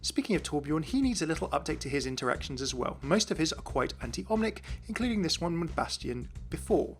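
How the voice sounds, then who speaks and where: A man's voice: fairly high pitch, big pitch swings, fairly quick, faint music behind. A man giving an explanatory voice-over as the host of a game lore series.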